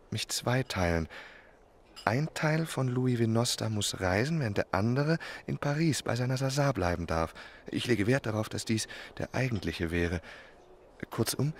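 An actor in a German radio drama playing a lovesick, spoiled young French marquis who talks in an affected, confiding way. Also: male voice, mid-range voice, a little hiss or hum. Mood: desperate, scheming. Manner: affected, florid, confiding speech.

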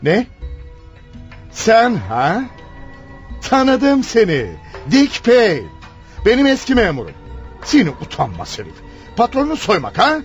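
A shrill, creaky old boss. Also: male voice, huge pitch swings, unhurried, pauses often, lo-fi sound, faint music behind, exaggerated voice. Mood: outraged. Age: older. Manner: scolding, outraged. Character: indignant, stubborn old male boss, threatening punishment. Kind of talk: radio theatre acting.

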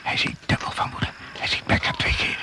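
A man narrating a radio drama in a gravelly, hushed, deliberate and suspenseful manner. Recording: noisy old radio play recording.

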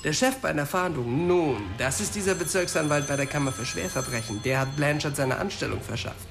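An actor playing a chatty, blunt police colleague passing on gossip in a crime radio drama. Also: male voice, on the high side, fairly quick, vocal fry, music in the background. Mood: gossipy, frank.

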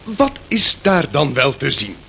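Flustered, high-pitched polite clergyman. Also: huge pitch swings, a little slow, vocal fry, sharp tone, exaggerated voice. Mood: puzzled, flustered. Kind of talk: radio play acting. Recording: hissy radio drama recording.

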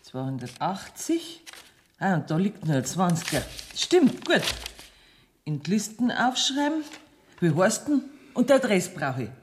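An actress playing a shrewd, commanding businesswoman in a radio play, speaking with a Bavarian accent in a brisk, familiar manner. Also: on the low side, very animated, a little hiss or hum. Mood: businesslike. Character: bossy, shrewd Bavarian businesswoman handling money with folksy confidence.

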